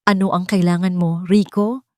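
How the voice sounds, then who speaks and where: A woman's voice: fairly low pitch, fairly even intonation, normal speed, darkish tone, dry recording, studio quality, close to an everyday voice. A woman voicing a cold, vengeful female executive, curt and scornful, in an audio love drama.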